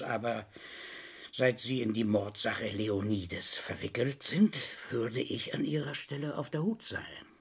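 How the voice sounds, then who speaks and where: A man's voice: mid-range voice, gravelly, breathy edge, full character voice. A male actor playing a wily middle-aged police inspector in a German radio crime play, musing, insinuating and probing. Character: wily male Paris police inspector, sly and falsely sympathetic. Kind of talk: German radio drama acting. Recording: vintage radio play recording.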